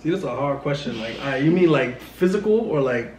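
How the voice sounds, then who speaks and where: A man in his twenties with a New York accent, hosting a casual chat in a relaxed, slangy way full of fillers. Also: mid-range voice, a little fast, reverberant, a little hiss or hum, rough recording. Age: 20s. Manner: laid-back slangy talk with many fillers. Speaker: host. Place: small room against a plain wall.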